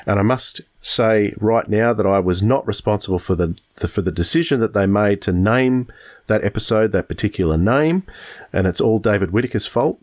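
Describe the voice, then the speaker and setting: Low-voiced man chatting on a podcast. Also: moderate pace. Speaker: podcast co-host. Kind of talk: casual review chat.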